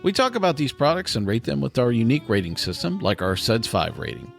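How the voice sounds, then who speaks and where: A man with a mid-pitched voice reads a scripted comic promo as co-host. He is folksy and self-deprecating, and music plays clearly underneath. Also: very animated, fast talker. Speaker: podcast co-host.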